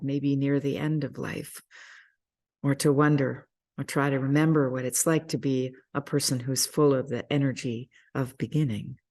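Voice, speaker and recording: A person speaking reflectively in a sermon-like style, with measured, deliberate phrasing and pauses. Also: medium pitch, a little mellow. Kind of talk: reflective spoken introduction to a story, sermon-like.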